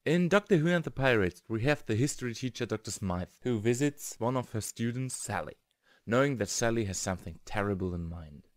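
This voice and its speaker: A man's voice: mid-range voice, expressive, a little fast, no echo, studio quality. A man narrating a scripted review in English as the host. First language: German.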